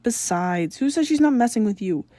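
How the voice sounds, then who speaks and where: A woman voicing a skeptical, wisecracking teenage boy in fan fiction, flat and disbelieving. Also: on the high side, normal speed, no room sound, clean studio sound, lightly acted. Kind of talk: acted fan fiction dialogue.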